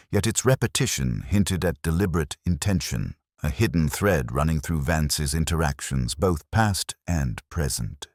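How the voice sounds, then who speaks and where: A man reading a scripted literary detective story in the first person, with a measured, formal, reflective delivery. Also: low-pitched, dry recording, studio quality. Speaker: audiobook-style detective story narrator.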